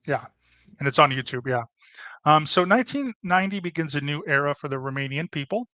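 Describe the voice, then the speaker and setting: A man's voice: on the high side, animated, brisk, sharp tone, no room sound. A man presenting a history topic on a casual podcast, with many fillers and restarts.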